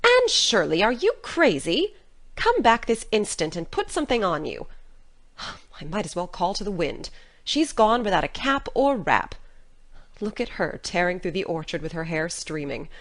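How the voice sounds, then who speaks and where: A woman's voice: on the low side, animated, moderate pace, close to an everyday voice. A woman playing a stern, practical middle-aged farm guardian in an audiobook, with a dry, no-nonsense, scolding manner but a caring heart. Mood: exasperated scolding. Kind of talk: dramatic audiobook reading.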